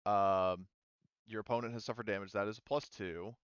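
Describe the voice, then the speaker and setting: A man acting as game master, casually explaining rules and thinking aloud in a halting way. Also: lowish voice, steady tone, smooth, no echo, muffled recording. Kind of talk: casual tabletop game narration and rules talk.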